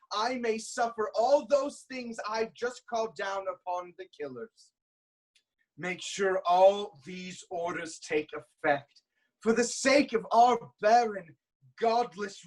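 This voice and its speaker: Man acting slow, high-voiced commanding king. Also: poor audio. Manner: deliberate, declamatory. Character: proud, commanding king of ancient Thebes vowing vengeance. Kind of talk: staged reading of Greek tragedy.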